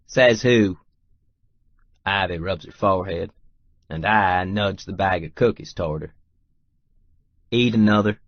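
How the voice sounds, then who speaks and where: A low, unhurried drawl from a stubborn, lovestruck cowboy oilman acted by a man, wry and folksy as he tells his romance story. The sound is dry and a little dull, like a muffled audiobook file. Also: dry recording. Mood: wry, determined. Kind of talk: first-person audiobook narration with dialogue.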